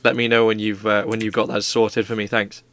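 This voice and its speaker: A man's voice: on the low side, level tone, fairly quick, fairly bright tone. A man streaming live, chatting casually and rambling playfully with his viewers while drawing.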